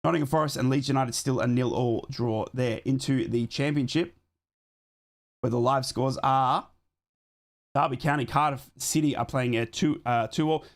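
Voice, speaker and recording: A hoarse man streaming a football watchalong, talking casually at a middle pitch as he reads out scores and thanks viewers for donations. He sounds a little sick, his voice wearing thin. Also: steady tone, normal speed. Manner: chatty, reads live scores and donations aloud, shouts on donations. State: losing his voice, a bit sick. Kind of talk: casual live football commentary and chat.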